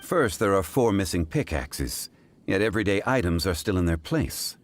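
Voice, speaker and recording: Deep, composed scholarly gentleman. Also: expressive, a little hiss or hum. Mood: methodical. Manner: formal, deliberate, precise. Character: composed, scholarly gentleman consultant, measured and formal. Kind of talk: English video game voice acting.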